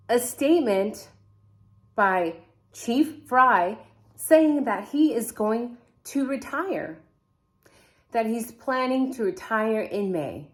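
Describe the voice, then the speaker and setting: A woman whose first language is not English, hosting a casual true crime vlog and chatting to viewers, wondering aloud and putting questions to them. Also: a little high. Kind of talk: casual to-camera chat.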